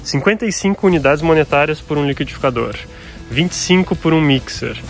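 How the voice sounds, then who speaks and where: Um homem jovem, brasileiro, que grava um vlog descontraído numa grande loja, comentando preços com jeito brincalhão e falante. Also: male voice, a little high, lively intonation, brisk, soft background music. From Brazil. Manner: chatty, jokey, lists prices out loud. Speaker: travel vlogger. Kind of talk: casual store walkthrough vlog. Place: large indoor department store.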